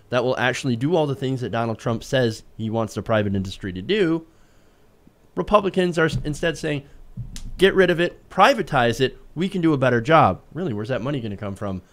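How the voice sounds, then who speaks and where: A man's voice: medium pitch, expressive, fairly quick. A man co-hosting a political talk show, arguing his points emphatically with rhetorical questions. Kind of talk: opinionated news commentary.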